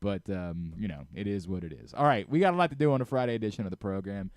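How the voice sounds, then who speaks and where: A man hosting a casual sports talk radio show, telling stories in an animated way and acting out exchanges. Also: fairly low pitch, fast, no echo, clean studio sound. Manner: animated storyteller who re-enacts exchanges. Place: radio studio.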